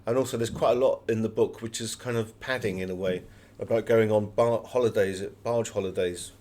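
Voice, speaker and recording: A man's voice: on the low side, steady tone, fairly quick, a little mellow, a little hiss or hum. A man giving conversational, anecdotal answers as an author being interviewed.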